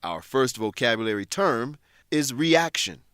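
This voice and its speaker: A male narrator presents a scripted story recap and English lesson. His mid-pitched voice is very expressive in intonation, and he explains things to the listener in a dry studio sound. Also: measured pace, no echo. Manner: clear, explanatory, addressing listeners.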